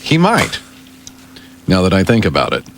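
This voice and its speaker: A man's voice: deep voice, huge pitch swings, moderate pace, a little hiss or hum. A man reading the news on a morning radio show, articulate and emphatic, with touches of banter. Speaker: radio newsreader and on-air sidekick.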